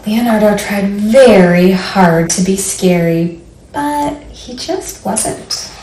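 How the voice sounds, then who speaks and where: A woman's voice: mid-range voice, takes it slowly, dark tone, far from the mic. A woman librarian leading a children's storytime, speaking simply and encouragingly to young listeners and reading aloud. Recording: roomy echo, faint background noise.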